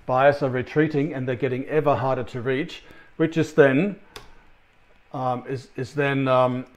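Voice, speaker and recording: A middle-aged man with an Australian accent presenting a recorded conference talk from his office, chatty and full of ums and restarts. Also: mid-range voice. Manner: conversational, many ums and restarts. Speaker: sales consultant presenter.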